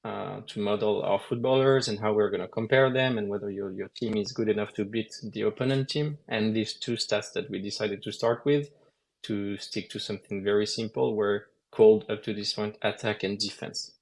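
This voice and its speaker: Man, low gravelly voice, measured and hesitant, game designer explaining mechanics. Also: fairly even intonation.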